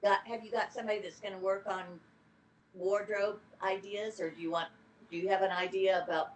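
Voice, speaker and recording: A woman's voice: mid-range voice, little pitch movement, a little fast, rough recording. A woman, an actor, chatting casually on a video call, starting and restarting her questions. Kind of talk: casual chat.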